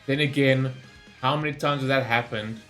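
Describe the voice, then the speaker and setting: Man, gaming reaction host, bright punchy voice, casual rambling excitable delivery, faint music behind. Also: medium pitch, normal speed.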